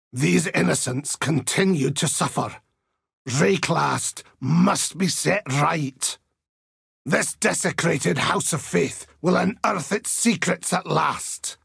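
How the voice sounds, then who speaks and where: A grim, pious holy warrior for a fantasy video game. His older voice is heavily gravelled and very husky, speaking slowly with solemn, righteous conviction. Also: on the high side, no echo, studio quality, full character voice. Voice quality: gravelly, raspy. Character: zealous, grim Templar holy warrior of God. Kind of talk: video game character voice lines.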